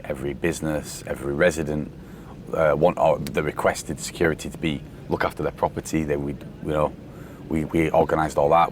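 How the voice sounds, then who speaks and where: A man from the UK chatting casually on a podcast, rambling with fillers and restarts, his voice worn from shouting. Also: rumbling low. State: voice going from shouting. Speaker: podcast host, security company boss. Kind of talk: casual chat. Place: outdoors on a festival site during breakdown. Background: faint site noise and vehicles.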